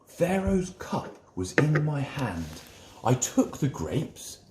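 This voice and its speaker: A man's voice: fairly high pitch, huge pitch swings, slow pace, roomy sound, background noise, pitched above the performer's own voice, heavily acted. A man voicing an eager royal wine taster in a Bible story, with an emphatic, wondering manner. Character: chief wine taster, eager male royal servant recounting dream.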